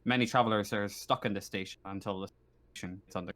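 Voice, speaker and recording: Man narrating as a halting game master. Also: lowish voice, steady tone, a bit bright. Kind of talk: narrating a tabletop roleplaying game.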